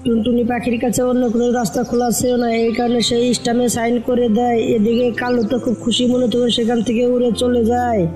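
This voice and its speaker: A woman's voice: a little high, completely flat delivery, fast talker, hardly any pauses, a little mellow. A woman narrating a scripted cartoon story as its storyteller. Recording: faint music behind the voice.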